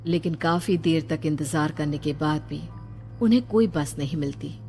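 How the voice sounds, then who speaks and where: Low-voiced woman narrating horror. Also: moderate pace, dark tone. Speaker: story narrator. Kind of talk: scripted horror story narration. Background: background music.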